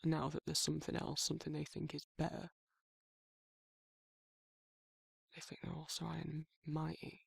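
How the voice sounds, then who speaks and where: A man playing a protective, awkward but tender werewolf in an intimate ASMR roleplay, speaking in a hesitant, husky British murmur. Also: on the high side, completely flat delivery, no echo, clean studio sound, heavily acted. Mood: quietly indignant. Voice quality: husky, gravelly, breathy. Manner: halting, hesitant, self-correcting, softly murmured.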